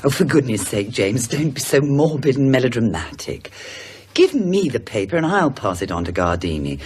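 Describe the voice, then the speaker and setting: A woman playing a brisk, no-nonsense character in a radio drama, with a husky voice and a chiding manner. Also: rumbling low, very animated, a little mellow, full character voice. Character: brisk, no-nonsense woman calming an impatient companion.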